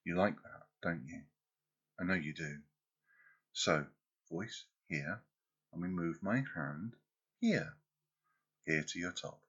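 A man performing an intimate audio roleplay, speaking softly and teasingly as if close to the listener's ear. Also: sits low, takes it slowly, pauses often, slightly rough, lo-fi sound.

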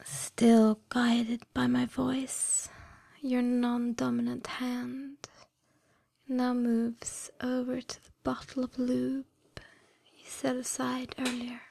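A woman speaks in a breathy, hushed whisper with a creaky edge. Her delivery is extremely slow and deliberate, soothing but commanding, as in a scripted hypnosis ASMR track. The sound is dry and intimate. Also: fairly high pitch, monotone, dry recording. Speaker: erotic hypnosis audio performer.

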